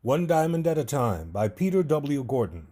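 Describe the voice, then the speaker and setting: A man reading a scripted historical essay aloud in a measured, clearly enunciated way. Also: mid-range voice, very animated, music in the background. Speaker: audio essay reader.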